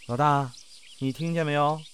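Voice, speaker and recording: A man acting a brisk, mischievous middle-aged uncle in an audio drama. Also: a little high, steady tone, fairly bright tone, smooth, close to an everyday voice. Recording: background music under the voice.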